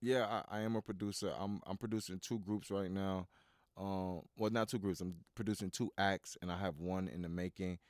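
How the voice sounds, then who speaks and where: A man in his thirties from Miami with a very low, deep voice. He rambles loosely through his answers, leaning on filler words and restarting his sentences. The sound is close and dry, with no room echo. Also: fairly even intonation, moderate pace, darkish tone. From Miami, USA. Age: 30s. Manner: rambling, full of "you know" and restarts. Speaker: guest, studio owner. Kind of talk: casual interview talk.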